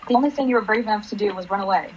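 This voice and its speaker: A woman's voice: medium pitch, very fast, a little mellow, lo-fi sound, a little hiss or hum, natural voice. A woman playing a troubled, grieving divorced mother in a radio play, subdued and brooding. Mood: bitter.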